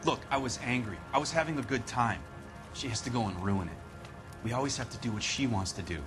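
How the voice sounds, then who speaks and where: A man's voice: medium pitch, a little fast, close to an everyday voice. Man acting as resentful husband suspect, American accent, defensive, plain-spoken, game drama, music behind.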